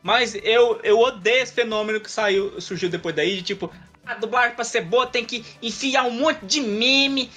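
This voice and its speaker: A man chatting on a podcast, opinionated and quick to swear. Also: very high voice, sharp tone, faint music behind. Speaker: podcast co-host. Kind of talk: casual chat.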